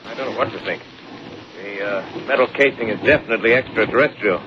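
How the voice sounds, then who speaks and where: A man's voice: on the low side, fast talker, lightly acted. A man playing a sober, puzzled professor of science in 1930s radio drama style, precise and academic. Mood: puzzled, analytical. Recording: noisy, muffled 1930s radio broadcast recording.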